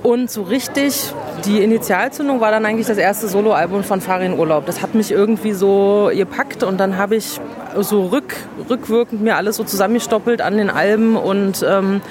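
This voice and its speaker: A woman's voice: mid-range voice, moderate pace. Hesitant woman fan outside in a crowd. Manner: hesitant, lots of fillers. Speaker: concert fan. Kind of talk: casual interview. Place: outdoors in a queue outside a club. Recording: loud crowd around the mic. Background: crowd chatter and street.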